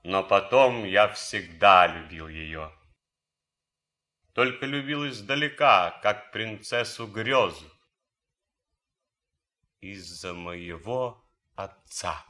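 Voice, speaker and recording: An actor playing an idealistic German officer and composer in a radio drama, speaking earnestly, deliberately and theatrically. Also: male voice, fairly low pitch, lively intonation, sharp tone, full character voice. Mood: wistful.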